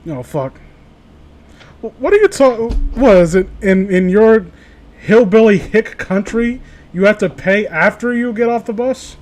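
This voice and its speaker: A man's voice: high-pitched, measured pace, a little mellow. A man co-hosting a casual comedy podcast about internet horror stories, sweary, joking and exclamatory. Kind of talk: casual comedic chat with mocking read-alouds.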